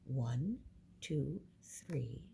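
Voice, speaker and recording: A woman's voice: rumbling low, animated, extremely slow, creaky. An older woman reading a picture book aloud to young children like a teacher, adding simple explanations and counting along. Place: indoor classroom with wooden furniture. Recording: noisy room recording with clicks.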